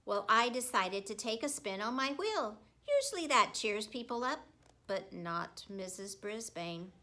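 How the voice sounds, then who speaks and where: Female storybook narrator, teacher-like: expressive, deliberate, bright tone, unhurried pace. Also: medium pitch. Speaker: teacher reading a storybook aloud. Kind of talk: expressive children's audiobook narration.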